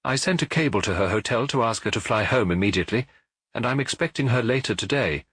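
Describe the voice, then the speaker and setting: A man's voice: mid-range voice, expressive, fast, fairly bright tone, breathy edge, no echo. A man voicing a jovial, talkative middle-aged police chief superintendent in an audiobook. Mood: businesslike. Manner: hearty, talkative, reporting.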